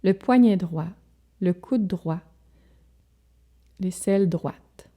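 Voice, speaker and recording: A woman leading a guided relaxation as a yoga instructor, speaking calmly and soothingly in short, measured phrases with pauses. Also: fairly low pitch.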